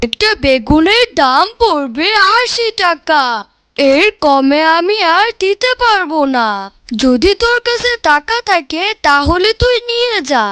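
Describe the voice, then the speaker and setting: A woman as a slow, high-voiced tiger shopkeeper. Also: animated, fairly bright tone, no echo, studio quality, exaggerated voice. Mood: firm. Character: unyielding tiger uncle selling vegetables. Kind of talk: children's cartoon voice acting.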